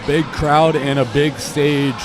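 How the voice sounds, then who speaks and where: A man's voice: fairly high pitch, fairly even intonation, slow, a crowd in the background. A young American man doing live basketball play-by-play in clipped phrases that follow the action. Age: young adult. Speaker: play-by-play commentator. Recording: live gymnasium commentary over loud court noise. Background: basketball bounces and gym noise.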